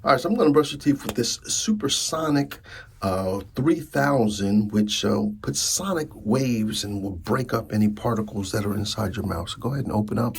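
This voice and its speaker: A man's voice: on the low side, animated, normal speed, gravelly, slightly rough. A middle-aged man in an ASMR roleplay as a dentist, talking informally and teasingly to the listener as his patient. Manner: informal, hesitant, teasing chairside patter. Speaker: ASMR performer playing a dentist.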